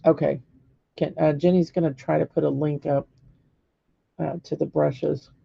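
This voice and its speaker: A middle-aged woman with a hoarse voice, giving a live painting lesson step by step and checking in with viewers. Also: low voice, level tone, normal speed, no room sound, highs cut off. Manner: patient step-by-step instruction with frequent "okay?" checks. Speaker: painting teacher. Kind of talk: live-streamed painting demonstration.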